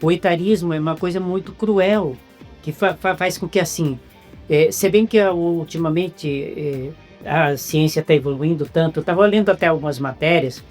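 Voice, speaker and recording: A Brazilian man with a Brazilian Portuguese accent, hosting an interview in a casual, halting way with many restarts. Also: a little high, a little mellow, faint music behind. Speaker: interviewer host.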